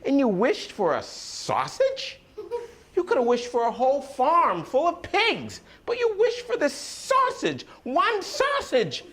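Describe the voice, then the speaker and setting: A man voicing an exasperated wife, speaking high, shrill and incredulous as she scolds her husband in a spoken story. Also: sing-song, slow, exaggerated voice. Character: exasperated scolding wife, middle-aged woman.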